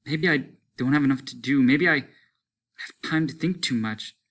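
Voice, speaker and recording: A male teacher reading aloud, voicing an anxious, tearful wife in a raised, breathy voice. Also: mid-range voice, unhurried, fairly bright tone, voice pushed up. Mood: frightened. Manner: tense, pleading, breathy. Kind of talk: classroom read-aloud.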